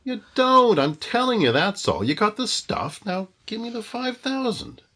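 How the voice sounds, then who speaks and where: A man plays a small-time crook in a vintage radio crime drama. The voice is fairly high and raspy, leaping dramatically in pitch, with an impatient, sarcastic, slangy swagger. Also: sing-song, normal speed, bright, forceful tone, full character voice. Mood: indignant, wary. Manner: sarcastic, slangy, tough-talking. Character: cocky, impatient male small-time blackmailer. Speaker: actor. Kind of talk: old-time radio thriller acting.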